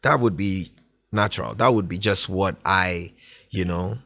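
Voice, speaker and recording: Man from Ghana, very low voice, dry close sound, relaxed rambling interview talk. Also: a little slow, vocal fry, no echo. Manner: laid-back, rambling, frequent "do you know what I mean". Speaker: guest musician. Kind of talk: casual interview chat.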